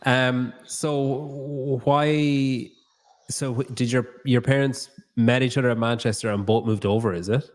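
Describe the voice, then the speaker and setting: A man's voice: medium pitch, steady tone, unhurried. A man hosting a podcast in a new studio, chatting loosely, thinking aloud and swearing casually. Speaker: podcast host. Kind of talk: casual interview chat.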